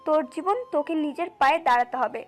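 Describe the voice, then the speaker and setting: No-nonsense mum played by a woman, high voice, mocking and dry, audio drama with background music. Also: quick pace, close to an everyday voice. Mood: dismissive. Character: teasing, no-nonsense mother of a grown son. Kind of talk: audio story acting. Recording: music under the voice.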